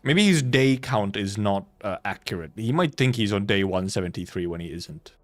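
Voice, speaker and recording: A man's voice: fairly low pitch, lively intonation, moderate pace. A man doing casual live game commentary as a streamer, rambling and correcting himself as he goes. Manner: rambling, loosely self-correcting, casual swearing.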